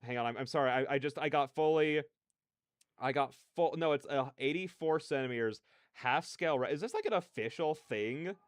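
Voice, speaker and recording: A man's voice: fairly high pitch, normal speed, clear, resonant tone, no echo, clean studio sound. A man hosting a livestream, chatting casually in a rambling, slangy, digressive way. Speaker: livestreamer hosting a reaction stream.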